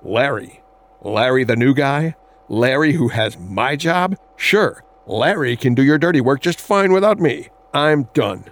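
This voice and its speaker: Indignant sales executive: principled, lively swings in pitch, campy audio drama. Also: male voice, measured pace. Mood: outraged.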